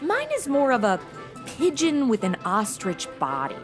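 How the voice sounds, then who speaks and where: A woman's voice: high-pitched, huge pitch swings, moderate pace, over music. An actress playing a sarcastic modern young woman in a comedy audio drama, with casual, snarky delivery.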